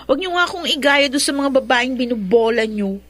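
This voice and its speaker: A woman's voice: high voice, lively intonation, fairly quick, fairly bright tone. A woman acting in a Filipino radio drama as a doting but skeptical young daughter who teases. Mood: sweet, teasing.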